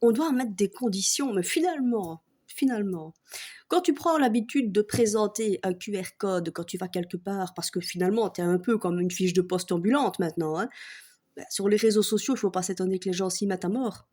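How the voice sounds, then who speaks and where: A woman's voice: medium pitch, brisk, mellow. A woman from Belgium with a Belgian French accent, ranting casually and mockingly, swearing often and breaking into laughter. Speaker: vlogger. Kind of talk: casual comic rant to camera.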